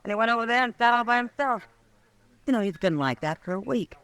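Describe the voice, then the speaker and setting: A frontier woman in a radio western. Her voice is high and bright, and she speaks frankly and familiarly, like an old friend. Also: animated, fast, smooth. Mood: friendly, concerned.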